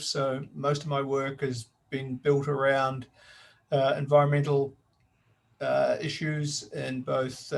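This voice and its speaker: An older man with a New Zealand accent speaks over a video call, introducing his work. His voice is gravelly and level, barely rising or falling, and he paces himself with frequent pauses and fillers. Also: medium pitch. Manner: measured, halting with many fillers. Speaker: professor.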